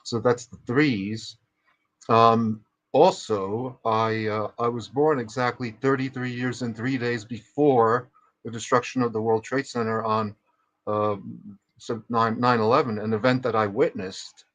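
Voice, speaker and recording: A man's voice: medium pitch, steady tone, unhurried, a bit bright. A man in his fifties with a Czech accent, co-hosting a livestream and telling his story in a precise, methodical way. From Czech Republic. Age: 50s. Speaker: co-host. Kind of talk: livestream conversation.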